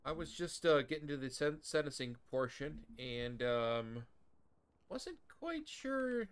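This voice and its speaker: Clear-voiced man, hesitant and polite. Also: on the high side, sing-song, slow pace. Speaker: streamer playing a police detective. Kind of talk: improvised police role-play dialogue.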